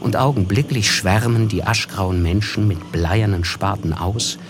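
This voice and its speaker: An actor playing a reflective, wryly observant gentleman who narrates a literary radio play in a measured way. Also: on the low side, noisy background, close to an everyday voice. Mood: somber, observant. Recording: music under the voice. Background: music.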